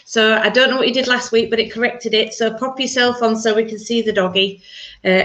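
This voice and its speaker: A woman's voice: fairly high pitch, a bit bright. A woman with a Yorkshire accent hosting a church livestream, chatty and rambling, laughing often. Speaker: church service host.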